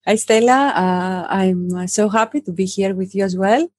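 A woman in her thirties with a Greek accent, speaking English as a second language. She talks in a halting way, full of fillers and restarts, as a podcast guest. Her voice sits at a middle pitch and sounds close and dry, with no room around it. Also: darkish tone, no room sound. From Greece. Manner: hesitant, many fillers. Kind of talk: casual interview talk.

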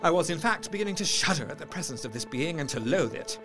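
An actor playing a troubled, sceptical gentleman doctor, narrating his diary in intense, formal literary diction for a gothic drama. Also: on the high side, lively intonation, fast talker, close to an everyday voice. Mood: shaken, reluctant. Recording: music under the voice. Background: music.

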